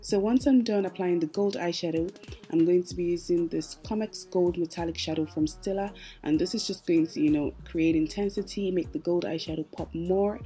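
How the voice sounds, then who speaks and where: Low-pitched, mellow woman narrating a tutorial. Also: normal speed. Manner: chatty, explanatory, addresses viewers directly. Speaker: makeup tutorial host. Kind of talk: casual tutorial voice-over. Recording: music playing behind the voice. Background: background music.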